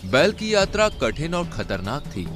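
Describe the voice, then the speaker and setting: A man's voice: fairly high pitch, sing-song, measured pace, over music. A man narrating an animal fable in a dramatic storytelling style.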